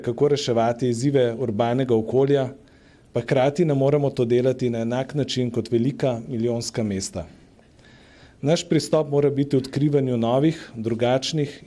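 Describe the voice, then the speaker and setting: A man giving a formal, prepared welcome address at a public debate, measured and official. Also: mid-range voice, dark tone.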